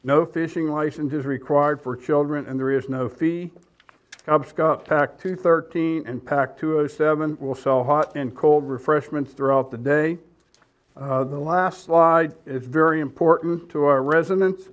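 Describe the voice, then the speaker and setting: Matter-of-fact mayor's announcements. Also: male voice, a little high, steady tone, a little slow. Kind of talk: reading announcements.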